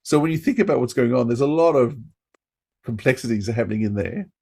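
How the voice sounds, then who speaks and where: A man's voice: mid-range voice, animated, fairly quick, vocal fry, darkish tone, breathy edge. A man hosting a podcast, talking casually as a fan and interviewer. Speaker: podcast host.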